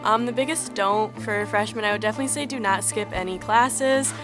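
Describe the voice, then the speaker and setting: A woman's voice: mid-range voice, fairly quick. Earnest young woman student, music behind. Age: young adult.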